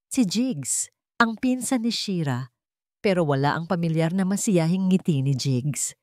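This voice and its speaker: A woman narrates a scripted drama with animated melody. Her voice sits a little low, and the recording is close and dry, with no room sound. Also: measured pace, no room sound. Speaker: storyteller.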